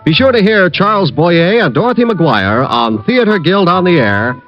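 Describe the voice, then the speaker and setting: A man's voice: high-pitched, big pitch swings, normal speed. A man announcing on a 1940s radio program with polished, formal delivery. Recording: dull old radio broadcast with music.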